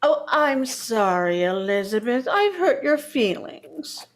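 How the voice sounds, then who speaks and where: A woman's voice: on the high side, sing-song, takes it slowly. An actress playing a condescending older mother-in-law, sugary and backhanded, in vintage radio sitcom style. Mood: mocking.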